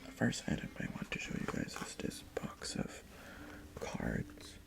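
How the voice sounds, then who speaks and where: A young adult Tagalog speaker, rambling in a chatty whispered ASMR chat in a bedroom, often breaking off mid-sentence. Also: unhurried. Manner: rambling, chatty, self-interrupting whisper with sudden breathy exclamations. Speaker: ASMR creator. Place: bedroom indoors.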